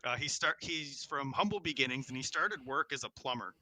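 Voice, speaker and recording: A man's voice: medium pitch, animated, brisk, bright, forceful tone, a little hiss or hum, rough recording. A man playing a tabletop game, telling a story in a casual, humorous style.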